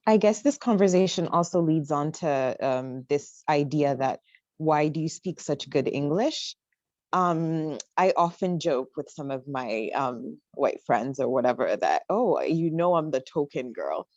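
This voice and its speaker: A woman's voice: deep voice, expressive, unhurried, darkish tone, no room sound. A teenage girl speaking reflectively and wryly as a student panelist over a video call. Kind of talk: casual panel discussion. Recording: dry muffled video call audio.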